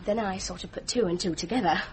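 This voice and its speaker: An actress playing a deferential, chatty young hotel maid in a radio mystery. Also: female voice, mid-range voice, fast talker, slight background noise, lightly acted. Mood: eager, deferential. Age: young adult. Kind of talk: British radio mystery drama acting.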